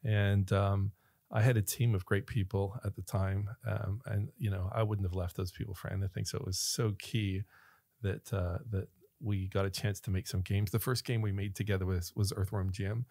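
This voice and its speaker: A middle-aged man from Northern Ireland with an American accent, a relaxed guest telling stories in a casual studio interview. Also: fairly low pitch, moderate pace, gravelly, no echo. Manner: relaxed storyteller, laughs often, gestures with hands. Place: small podcast studio. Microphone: studio mic on boom arm, headphones on.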